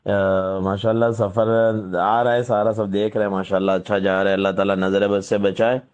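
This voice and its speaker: A man on a phone call, politely and hesitantly giving gentle advice, with many pauses. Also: fairly low pitch, level tone. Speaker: caller. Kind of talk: phone conversation. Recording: muffled lo-fi phone call recording.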